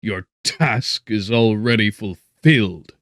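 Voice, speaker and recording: A man voicing a desperate shipwrecked gentleman scientist in a gothic audiobook, formal, pleading and loudly declaimed. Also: lowish voice, takes it slowly, no room sound, studio quality, pitched above the performer's own voice. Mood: anguished defiance.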